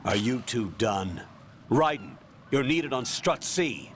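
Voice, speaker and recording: A man's voice: medium pitch, animated, slow pace, background noise. A male actor playing a stern military colonel in a video game, curt and authoritative as he gives orders. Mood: impatient, curt. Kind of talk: video game cutscene acting.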